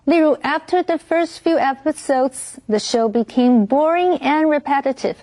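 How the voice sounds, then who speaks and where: A woman teaching vocabulary in a clear, measured, scripted way. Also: high voice, warm tone.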